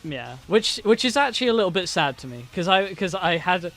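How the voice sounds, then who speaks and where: A man's voice: sits high, sing-song, a little fast, a bit bright, soft background music. A young man co-hosting a casual movie review chat, agreeable, stammering and laughing. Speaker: co-host.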